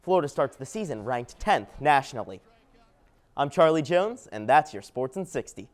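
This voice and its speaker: A man's voice: mid-range voice, big pitch swings, normal speed. A young man reading a scripted sports news update as a TV anchor.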